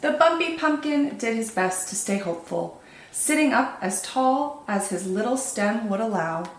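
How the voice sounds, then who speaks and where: A woman hosting storytime, reading picture books in an expressive, animated way for young children. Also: a little high, normal speed, reverberant. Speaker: children's storytime host and reader. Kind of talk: expressive picture-book narration.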